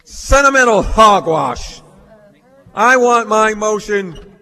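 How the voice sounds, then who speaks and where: Scornful old moneyed villain played by a male actor, raised pitch, haughty radio-drama delivery in a reverberant hall. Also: expressive, creaky, pushed and bright, exaggerated voice. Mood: irritated. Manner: haughty, scornful, deliberate speech. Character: cold, contemptuous old rich businessman villain. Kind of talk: staged old-time radio play acting. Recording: reverberant stage hall recording.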